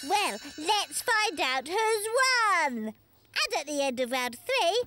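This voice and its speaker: A woman's voice: very high voice, sing-song, slow, loud music behind the voice, exaggerated voice. A woman voicing a cheerful, encouraging young tiger puppet who hosts a children's quiz show. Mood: announcing, cheerful. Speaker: quiz host.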